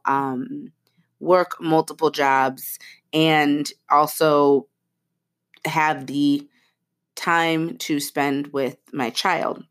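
A woman in her thirties hosting a podcast with a head cold. She sounds stuffy and nasal and chats casually, with lots of fillers and restarts. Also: low voice. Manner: chatty, many fillers and restarts. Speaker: podcast host. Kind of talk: casual chat.

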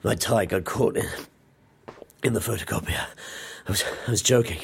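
Very raspy, hapless office worker. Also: male voice, on the low side, full character voice. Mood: aggrieved. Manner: halting, melodramatic. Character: hapless, aggrieved male office worker, melodramatically complaining. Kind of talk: audio drama comedy acting.

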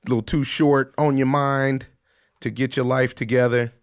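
Animated man hosting sports talk with a head cold. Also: mid-range voice, normal speed. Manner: animated, emphatic riffing. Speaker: podcast host. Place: studio in Los Angeles.

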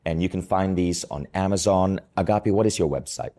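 A man's voice: sits low, fairly quick, warm tone. A man hosting a podcast interview, speaking effusively and heaping praise on his guest. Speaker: podcast host.

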